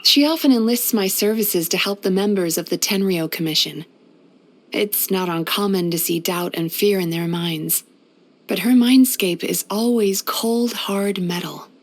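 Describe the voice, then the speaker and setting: A woman as a soft-spoken, wistful yokai. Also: mid-range voice, lightly acted. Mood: gentle, concerned. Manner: soft-spoken, measured, reflective and polite. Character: gentle, wistful centuries-old yokai woman, a dream-eating healer. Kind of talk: scripted video game character voice-over.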